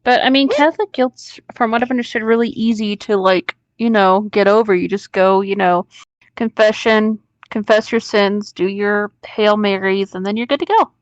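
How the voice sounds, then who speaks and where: A woman's voice: mid-range voice, moderate pace, no room sound, muffled recording. A woman co-hosting a casual conversation and explaining things informally.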